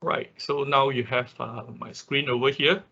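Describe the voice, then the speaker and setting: A man teaching a software tutorial step by step in an unscripted, halting way, often marking steps with short filler confirmations. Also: mid-range voice, fairly even intonation. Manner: halting, often repeats "okay" and "right" as markers. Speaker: workshop instructor. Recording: dull lo-fi online class audio.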